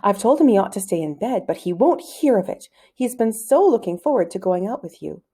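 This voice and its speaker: A woman's voice: medium pitch, expressive, brisk, mellow, slightly rough. A woman voicing a worried, doubtful mother with a fretful, concerned manner.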